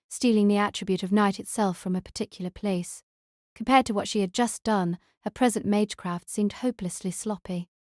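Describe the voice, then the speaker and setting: A woman's voice: mid-range voice, dry recording. Woman narrating a novel, very dry sound. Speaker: audiobook narrator.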